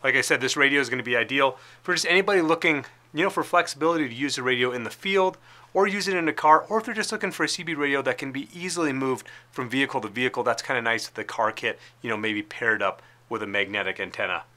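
Bright-voiced American man presenting a product. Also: medium pitch, fast talker. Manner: informal, explanatory. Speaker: product presenter for a radio retailer. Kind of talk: casual product demonstration. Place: indoor studio with plain backdrop.

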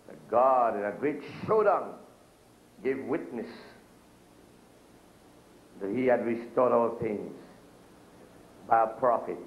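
A middle-aged man preaching a sermon at a pulpit, gesturing and calling out to the congregation. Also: medium pitch. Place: church hall with curtains, speaking at a pulpit. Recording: echoey church room, dull lo-fi video sound.

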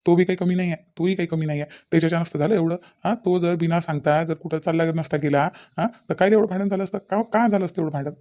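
A man's voice: fairly high pitch, steady tone, fast, few pauses, mellow, close to an everyday voice. A man playing a distrustful middle-aged village father-in-law in a cartoon comedy, nagging sceptically and repetitively with a Vidarbha Marathi accent. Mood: grumbling. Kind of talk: cartoon family comedy dialogue.

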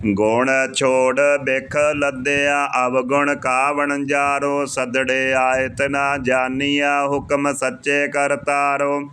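A man reciting Sikh scripture in a measured, even, chant-like reading. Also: medium pitch, smooth. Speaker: scripture reader.

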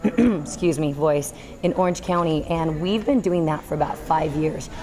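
A woman in her thirties, a girls volleyball coach, giving an earnest postgame interview in a high school gym, working through her thoughts with small restarts. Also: moderate pace, a crowd in the background. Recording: noisy gym ambience.

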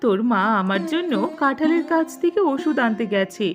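Woman voicing a sly high-pitched tigress aunt. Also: animated, fairly quick, muffled recording, over music. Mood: wheedling, sly. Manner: accusing, wheedling, scheming. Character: greedy, sly tigress aunt, scheming and suspicious. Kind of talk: children's animated fable dialogue.

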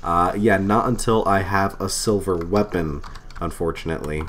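Man, lowish voice, getting over a cold, casual let's play chatter, thinking aloud, self-deprecating. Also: normal speed, a bit bright, slight background noise. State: recovering from a cold. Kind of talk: casual gameplay commentary. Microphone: desk microphone.

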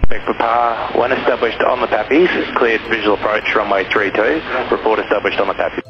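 A man working as an air traffic controller, giving measured, procedural instructions over aviation radio. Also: lowish voice, little pitch movement. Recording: muffled lo-fi aviation radio, music behind.

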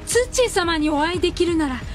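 A high, swooping young heiress, acted by a woman, lovestruck and genteel. Her speech is affectedly refined, in the style of a comic radio drama, with music behind. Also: animated, quick pace. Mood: dreamy, haughty. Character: lovestruck, genteel rich young lady heroine.

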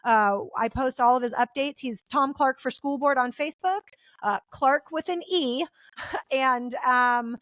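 A woman's voice: high voice, measured pace, fairly bright tone, no echo. A woman with an American accent hosting a casual, chatty interview podcast in an earnest, informal and effusive way. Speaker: podcast host.

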